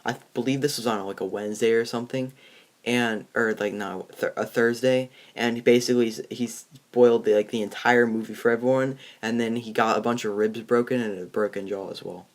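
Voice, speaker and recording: A teenage boy in a casual movie discussion, talking in a halting, rambling way. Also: mid-range voice, fairly even intonation. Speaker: movie review host. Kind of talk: casual chat.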